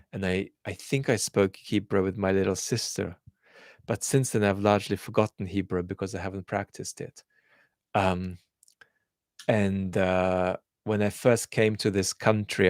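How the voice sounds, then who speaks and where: Low gravelly man, musing hesitantly. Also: dry recording, studio quality. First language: Russian. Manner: hesitant and reflective, frequent ums and restarts. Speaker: host reading viewer questions. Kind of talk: casual livestream Q&A, thinking aloud.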